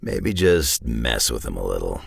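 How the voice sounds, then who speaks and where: A man voicing a gruff, cynical, battle-worn mutant brawler in a video game, with a gravelly, husky growl and a terse, dry tough-guy drawl. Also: extremely low pitch, sing-song, a little slow, dry recording, heavily acted. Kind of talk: video game character acting.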